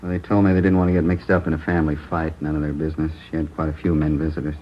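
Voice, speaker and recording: A man's voice: very deep voice, very fast. An actor playing a male police lieutenant who steadily reports what neighbors heard on a murder night, in a 1950s radio crime drama. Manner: steady, methodical report reading. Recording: dull old radio broadcast recording.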